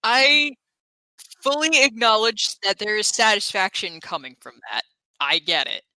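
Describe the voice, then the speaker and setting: Unhurried, bright-voiced woman playing a tabletop game. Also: mid-range voice, very animated, no room sound, poor audio. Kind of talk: casual game chat.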